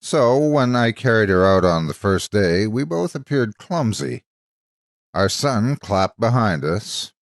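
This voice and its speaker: Deep guy reading a dramatic story, measured but really animated delivery, super dry sound. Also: creaky, dry recording. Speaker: story narrator. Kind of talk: scripted dramatic story narration.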